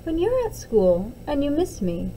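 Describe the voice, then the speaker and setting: A woman voicing a gentle, reassuring mother of twins in a storybook, soothing and patient. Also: sits high, huge pitch swings, unhurried, a little hiss or hum, voice pushed up, full character voice, rough recording.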